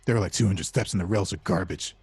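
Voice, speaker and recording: A man's voice: deep voice, fast talker, gravelly, darkish tone, slightly rough, dry recording, heavily acted. A man playing a cocky young action star villain in a table read, with a smug, laid-back bro drawl. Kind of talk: table read of a comedy film script.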